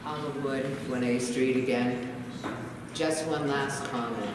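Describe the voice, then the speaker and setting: A man's voice: medium pitch, steady tone, unhurried. A man speaking at a public school board meeting, emphatic and indignant, repeating his points. He is heard from a floor mic that partly cuts out. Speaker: resident speaking at public comment. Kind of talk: impassioned public comment. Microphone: floor mic, partly cut off, heard from far. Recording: echoey hall from far off, noisy.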